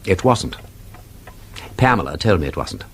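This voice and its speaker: An actor playing a witty, inquisitive gentleman sleuth in a radio drama, with an upper-class English accent and an urbane, argumentative, theatrical manner. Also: sits low, big pitch swings, a little slow, husky, heavily acted. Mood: keen, persistent. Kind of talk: British radio drama acting.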